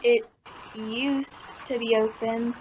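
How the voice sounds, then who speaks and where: A skeptical teenage daughter, acted by a woman, talks back tartly in a high, slow voice. It is old-time radio family comedy, with clear noise on the recording. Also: fairly even intonation. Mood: tart, dry. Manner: tart, challenging. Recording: dull, noisy lo-fi radio play recording.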